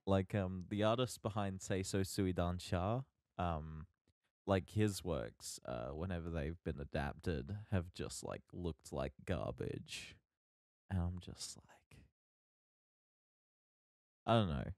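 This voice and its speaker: A man co-hosting a podcast, chatting casually about media in a halting, emphatic, sweary way. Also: deep voice, a little mellow, dry recording, studio quality. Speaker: podcast co-host.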